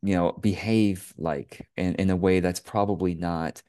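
A middle-aged man from Taiwan hosting an interview over a video call, asking questions in a halting way with many restarts. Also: deep voice, steady tone, darkish tone, clean tone. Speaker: host, business coach. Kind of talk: conversational interview. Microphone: webcam on a video call.

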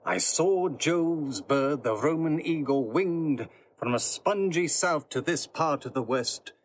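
An actor playing a solemn Roman soothsayer in a Shakespearean audio drama, speaking prophetic verse in a portentous, deliberate manner. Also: male voice, fairly high pitch, expressive.

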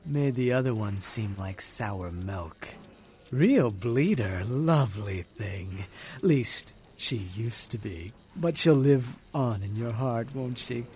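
A deranged, menacing dealer from a video game. He speaks slowly in a mid-range voice, stretching words out with leering, taunting relish. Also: mid-range voice, expressive, darkish tone, slight background noise. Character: menacing, creepy, deranged blood-bank dealer. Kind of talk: video game character acting.

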